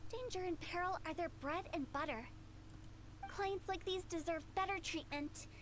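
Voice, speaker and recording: A woman's voice: very high-pitched, normal speed, a little hiss or hum. A woman voicing a playful, mischievous young woman who runs a funeral parlor, in game voice acting, sly and theatrical. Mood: teasing.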